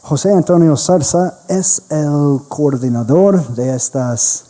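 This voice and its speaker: Slow, dark-voiced American man reading. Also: on the high side. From United States. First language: English. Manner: slow, careful reading with some restarts. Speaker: presenter at a history conference. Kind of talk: reading a prepared introduction.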